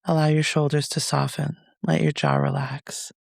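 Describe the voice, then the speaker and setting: A man leading a guided meditation as a reflective monologue, speaking in a soothing, measured, gentle way with pauses. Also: on the high side, flat delivery, vocal fry, breathy edge, no echo, clean studio sound.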